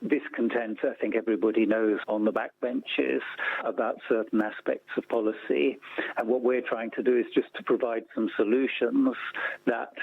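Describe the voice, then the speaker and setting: A man speaks over a narrow, phone-like connection with very little room sound. His voice is creaky and rough-edged. He explains things as an interview guest, measured, repeating key words for emphasis. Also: medium pitch, steady tone, dry recording. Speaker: interview guest, policy campaigner. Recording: thin phone-like line.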